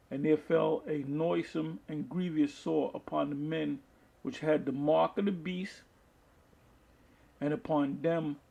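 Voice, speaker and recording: A man preaching from the Bible, reading verses aloud and explaining them in a halting, deliberate manner, with a husky, gravelly voice. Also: fairly high pitch, darkish tone, poor audio. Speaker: street-style Bible preacher. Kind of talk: Bible teaching with scripture read aloud and commentary.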